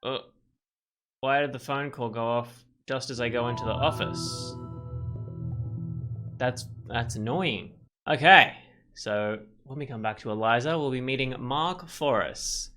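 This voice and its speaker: A man's voice: mid-range voice, measured pace, pauses often, over music. A man giving casual let's play commentary, thinking aloud in a rambling way.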